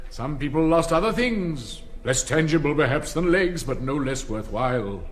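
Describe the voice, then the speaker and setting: An actor playing an elderly, pompous public-school headmaster with an upper-class English accent, declaiming ceremonially in a radio drama. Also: male voice, fairly high pitch, expressive, moderate pace, natural voice. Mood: solemn, nostalgic. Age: older. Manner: pompous, declamatory, sonorous oratory with stern asides.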